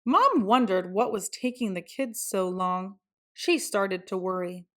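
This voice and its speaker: A woman's voice: medium pitch, lively intonation, unhurried. A woman reading a children's picture book aloud as a storyteller. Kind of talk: scripted children's story narration.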